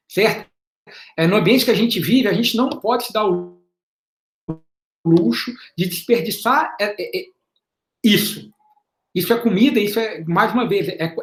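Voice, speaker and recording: A man giving a slide presentation as an invited panelist in an online talk. He speaks in a halting, explanatory way, repeats words and often checks in with a questioning "right?". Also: sits high. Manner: explanatory, measured. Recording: roomy echo on an online call.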